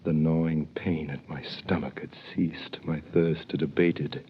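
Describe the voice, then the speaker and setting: A man narrating an old radio drama in the first person, grave and measured. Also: a bass voice, little pitch movement. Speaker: narrator playing the hero. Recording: dull old radio recording with faint hiss.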